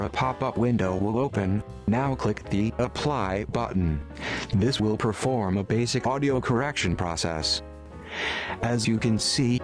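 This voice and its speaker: A man reading scripted instructional narration for a tutorial in a measured, step-by-step way. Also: on the low side. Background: background music.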